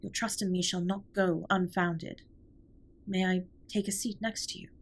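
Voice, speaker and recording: Alien spaceship captain played by a woman, audio roleplay. Soft husky voice, slightly low, precise formal diction, gentle earnest care. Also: level tone. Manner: formal, stiffly precise, measured wording. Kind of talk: scripted intimate comfort audio roleplay.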